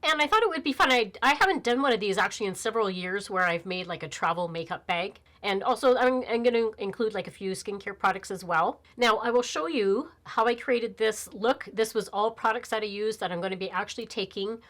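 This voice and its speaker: A woman's voice: mid-range voice, expressive, brisk, hardly any pauses, fairly bright tone. A woman in her fifties with a slightly nasal voice from a cold, chatting casually on camera as a beauty vlogger, rambling with fillers and restarts. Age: 50s. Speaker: beauty YouTuber. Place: small indoor room, plain backdrop.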